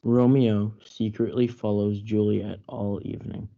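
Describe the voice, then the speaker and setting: A man's voice: on the low side, little pitch movement, a little slow, vocal fry, warm tone. A young man reading scripted narration for a student reader's theatre play. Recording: dull lo-fi audio.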